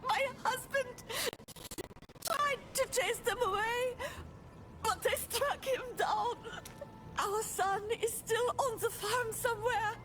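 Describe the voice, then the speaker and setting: A woman's voice: very high-pitched, background noise. A woman playing a distraught, pleading, newly widowed farm mother in a video game, speaking through tears. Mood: tearful pleading. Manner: tearful, pleading, slow emotional delivery. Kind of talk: video game dramatic acting.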